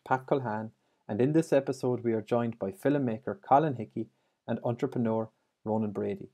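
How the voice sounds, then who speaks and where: A man's voice: medium pitch, warm tone. Hesitant man hosting a podcast. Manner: hesitant, repeats words. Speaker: host. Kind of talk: casual interview. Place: home room. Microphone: video call with earbuds.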